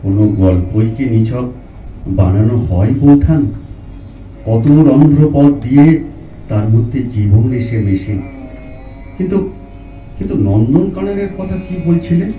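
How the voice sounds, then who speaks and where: Dark-voiced, anguished dying old poet played by a man. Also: mid-range voice, lively intonation, dull audio. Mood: reflective. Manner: slow, halting, repeats words, poetic. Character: dying old poet, tender, anguished, questioning his sister-in-law. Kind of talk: Bengali audio drama, dramatic reading. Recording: roomy echo, distant mic, music underneath.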